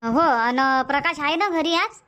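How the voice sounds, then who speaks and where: A woman's voice: high-pitched, expressive, measured pace, bright, forceful tone, muffled recording, exaggerated voice. A woman voicing a bossy, fussing village mother-in-law in a cartoon comedy, colloquial and repetitive, with a rural Varhadi Marathi accent. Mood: instructing. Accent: rural Varhadi Marathi from Vidarbha.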